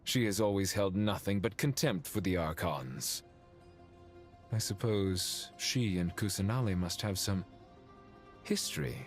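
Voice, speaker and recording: Deep-ish ancient snooty sage, fantasy game, dry and eloquent, bit of music behind. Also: male voice. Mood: grave, formal. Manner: measured, eloquent, dryly condescending. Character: ancient male being, refined, dry, haughty sage. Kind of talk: game voice acting.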